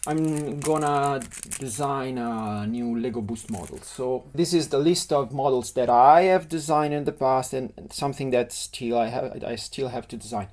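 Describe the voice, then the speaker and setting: A middle-aged man with an Italian accent, a native Italian speaker, explains his model design process in a halting way, often restarting words as he sketches. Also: medium pitch, darkish tone. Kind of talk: thinking-aloud design tutorial.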